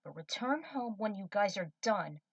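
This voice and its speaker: A woman's voice: mid-range voice, animated, moderate pace, dry recording, recorded from a distance, lo-fi sound. A woman voicing a skeptical, stern fatherly man in a fan fiction story reading. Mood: grudging.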